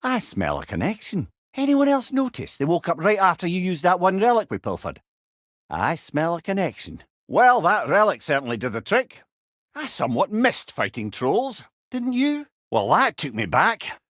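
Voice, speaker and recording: An actor playing a wry, clever older male storyteller companion in a video game, with a Scottish accent and a droll, expressive manner. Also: high voice, normal speed, no room sound, clean studio sound, heavily acted. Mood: wry, musing. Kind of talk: video game character dialogue.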